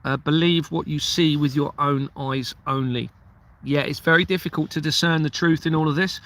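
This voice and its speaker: Earnest rambling Englishman, bright forceful voice. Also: fairly high pitch. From Kent, southeast England. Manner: rambling, earnest, loses his train of thought. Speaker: livestream host, former police officer. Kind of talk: casual livestream chat reading viewer comments.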